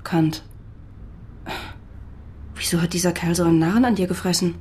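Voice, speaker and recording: A sharp investigative partner in a radio crime drama. Her voice is lowish and very husky, her delivery brisk and questioning. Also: fairly even intonation. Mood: probing, matter-of-fact. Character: sharp, probing woman working as an investigative partner. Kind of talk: naturalistic radio drama acting.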